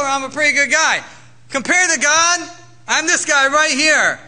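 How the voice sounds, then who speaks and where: A man's voice: high-pitched, sharp tone, a little hiss or hum. A man preaching a conversational sermon, self-deprecating and full of rhetorical questions. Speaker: pastor.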